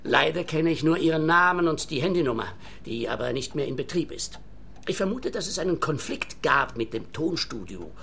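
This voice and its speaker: A man's voice: on the high side, expressive, normal speed, creaky, a bit bright, a little hiss or hum. An actor playing an earnest, self-convinced inventor and entertainer in a radio play, eager and insistent like a salesman, with a Swiss accent.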